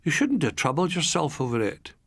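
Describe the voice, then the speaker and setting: Casting: male reader as a sorrowful country tradesman, formal, courteous, steady, pitched up with lilting movement, audiobook. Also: a little fast, breathy edge, no room sound, heavily acted. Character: sad, ailing, courteous rural shopkeeper.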